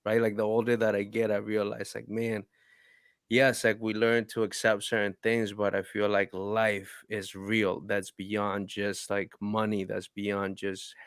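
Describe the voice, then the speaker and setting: Give me a reflective man co-hosting a podcast, smooth and dry-sounding. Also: on the low side, fairly bright tone, no room sound. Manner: reflective, halting, many restarts and fillers. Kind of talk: casual podcast conversation.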